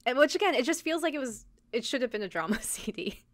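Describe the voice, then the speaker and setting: A woman's voice: sits high, lively intonation, fast talker, no echo. Dry-sounding woman casually recapping a story. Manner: informal storytelling with many likes and quoted asides. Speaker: podcast co-host recapping an anime episode. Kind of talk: casual chat, episode recap.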